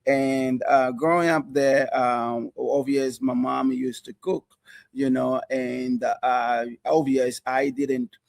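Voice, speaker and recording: Man from South Africa, gravelly, dry close sound, halting chef talk with fillers. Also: mid-range voice, steady tone, no echo. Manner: halting, many fillers and restarts. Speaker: chef and restaurant owner. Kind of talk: casual TV interview chat. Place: restaurant.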